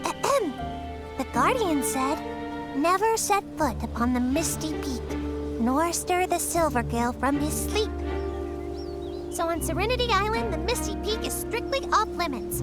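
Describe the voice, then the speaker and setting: A woman voicing a small, excitable young village creature in a video game, chatting and telling tales in an animated, earnest way. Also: sits high. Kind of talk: video game character dialogue. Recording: game music behind the voice.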